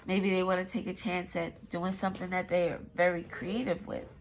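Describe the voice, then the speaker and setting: Woman tarot reader, stuffy nose, slightly low voice, loose rambling delivery with some cursing, faint background noise. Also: flat delivery, moderate pace. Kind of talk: casual tarot reading.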